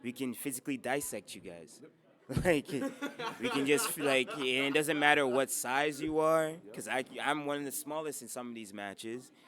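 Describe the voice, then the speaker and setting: A man's voice: mid-range voice, moderate pace, a crowd in the background. A man, a wrestler, speaking enthusiastically and laughing often in a casual interview.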